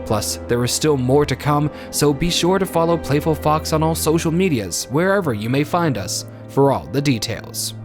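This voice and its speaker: A man's voice: a little high, animated, normal speed, hardly any pauses, over music. A man announcing and narrating a radio drama in a formal, theatrical scripted style.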